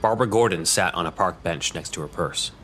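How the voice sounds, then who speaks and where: A man narrating an audio drama in a steady storytelling delivery. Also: low voice, brisk, over music.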